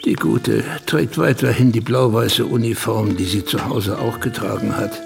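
An actor playing a quiet, observant narrator in an intimate radio drama. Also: medium pitch, fairly even intonation, normal speed, creaky, music in the background.

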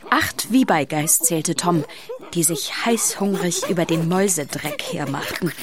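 A woman's voice: lowish voice, unhurried, crowd noise, close to an everyday voice. A woman narrator telling a scripted story in a children's radio play. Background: music.

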